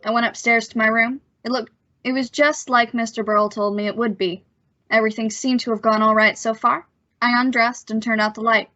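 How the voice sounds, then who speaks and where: A woman's voice: fairly high pitch, fairly even intonation, a little fast, sharp tone, no echo. A woman acting an awkward, apologetic young man in an old-time radio suspense play, stammering and using folksy slang. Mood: wary narration. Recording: slightly dull, muffled audio.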